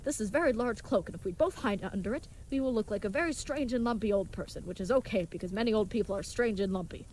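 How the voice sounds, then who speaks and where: Woman playing a fast-talking, earnest would-be knight. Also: mid-range voice, darkish tone, a little hiss or hum, lightly acted, low-quality recording. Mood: earnest, reassuring. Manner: formal, contraction-free, chivalrous, grandly earnest speech. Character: earnest, brave, naive young leatherworker playing knight, cheerfully oblivious. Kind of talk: scripted audio drama acting.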